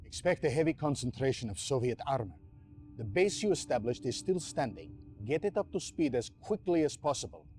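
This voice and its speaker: A calm allied officer played by a man lays out tactics in a measured, matter-of-fact voice. His pitch is mid-range, and he speaks without urgency in this game cutscene. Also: soft background music, lightly acted.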